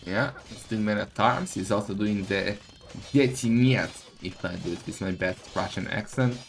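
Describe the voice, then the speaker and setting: A man giving excitable, casual live esports commentary, full of exclamations and questions. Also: lowish voice, normal speed, creaky, faint music behind.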